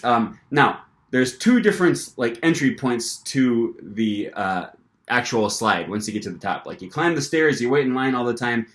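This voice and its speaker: Young man telling a story to camera. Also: mid-range voice. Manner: animated, lots of fillers and restarts. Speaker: language-learning vlogger.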